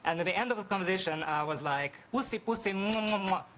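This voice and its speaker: A man's voice: high voice, quick pace, fairly bright tone, slight background noise. A man from Hungary with a Hungarian accent, casually telling a personal story as a studio guest. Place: television studio.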